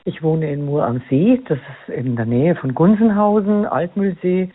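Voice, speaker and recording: A woman's voice: low voice, lively intonation, moderate pace, breathy edge. A woman in her fifties calling a radio show, recounting earnestly and plainly. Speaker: caller. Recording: tinny phone line.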